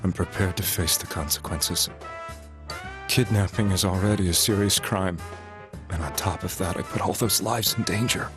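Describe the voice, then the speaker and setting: An actor playing a remorseful, weary man in a video game, speaking in a subdued, halting, earnest way. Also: low voice, over music, lightly acted. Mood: remorseful, resigned. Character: remorseful, weary male ex-political hopeful confessing his crimes. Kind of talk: English game voice acting.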